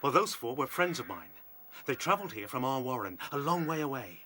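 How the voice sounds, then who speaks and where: A man's voice: medium pitch, big pitch swings, brisk, background noise, lightly acted. An actor playing a bold, determined male rabbit officer in a radio drama, assertive and persuasive. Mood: resolute, persuasive.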